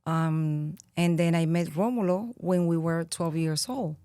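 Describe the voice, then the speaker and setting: A low-pitched woman with a Venezuelan Spanish accent talks slowly and earnestly, pausing often to think. Her voice sits dry and close to the mic. She sounds like a podcast guest reflecting in her thirties. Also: fairly even intonation, warm tone, smooth, dry recording. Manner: reflective, earnest, with frequent pauses and fillers. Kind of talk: interview conversation.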